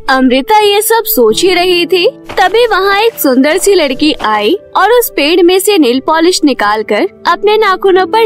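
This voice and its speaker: A woman narrating a scripted children's fairy tale as a storyteller. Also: very high-pitched.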